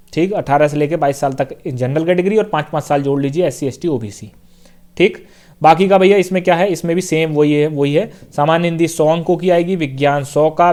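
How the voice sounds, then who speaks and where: A man's voice: a little high, darkish tone. A young man, an exam coaching teacher, casually walking viewers through a job notice, repeating points and checking in often. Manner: casual, repeats points, fills with "theek hai" and "bhai". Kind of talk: explaining a job notification on screen.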